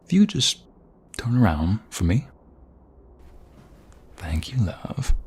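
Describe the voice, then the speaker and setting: A man playing a protective, affectionate mobster fiancé in an intimate ASMR roleplay, with a husky, breathy voice that often drops toward a whisper. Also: on the low side, sing-song, darkish tone, full character voice. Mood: playful, helpful. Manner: affectionate, teasing, slow and murmuring. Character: protective, tender mobster fiancé soothing his beloved.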